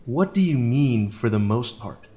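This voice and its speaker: A man's voice: medium pitch, animated, unhurried, dark tone, close to an everyday voice. Man playing a formal, probing courtroom lawyer. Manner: formal, insistent questioning. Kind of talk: scripted audio drama acting.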